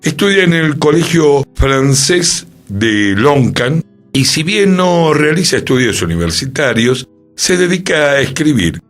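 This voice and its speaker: An Argentine man presents a radio column in a conversational way. His pitch moves in a lively range while he takes his time over the words. Soft music plays faintly underneath him. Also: measured pace. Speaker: radio show co-host and columnist. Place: radio studio.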